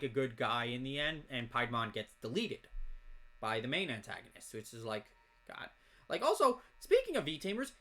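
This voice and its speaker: Bright-voiced rambling young man. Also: a little high. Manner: rambling, jumps from topic to topic; reads off card names in quick lists. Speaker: card unboxing host. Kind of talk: casual unboxing chat.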